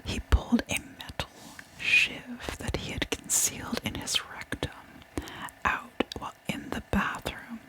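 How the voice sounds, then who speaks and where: A woman whispering a true crime story in ASMR style, with a soft, breathy, unvoiced delivery and deliberate storytelling. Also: poor audio.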